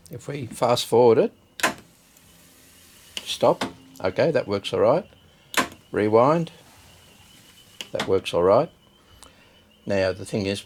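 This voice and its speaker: A man giving a casual, unscripted hands-on demonstration as a hobbyist video host, hesitant and halting as he thinks aloud. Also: fairly low pitch, a little mellow, slight background noise.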